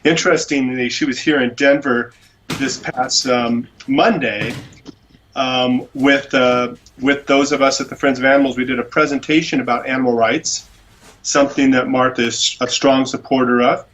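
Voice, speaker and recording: A man's voice: mid-range voice, steady tone, moderate pace, fairly bright tone, slight background noise, poor audio. A man, an animal rights attorney, speaking as a guest in a phone interview and talking enthusiastically. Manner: enthusiastic, gushing about a speaker.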